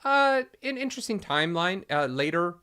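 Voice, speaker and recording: A man co-hosts a relaxed spoiler chat from a home setup. His voice is bright and forceful, and he talks in an animated, joking way. He reads viewer questions aloud and riffs on them. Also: high-pitched, moderate pace, smooth. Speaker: podcast co-host.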